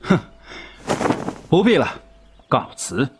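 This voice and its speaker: An actor playing a brash, blunt wolf king in a costume audio drama, gruff and impatient. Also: mid-range voice, very animated, slow, noisy background. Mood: curt, offended. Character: brash, blunt wolf king, a grown man at his wedding.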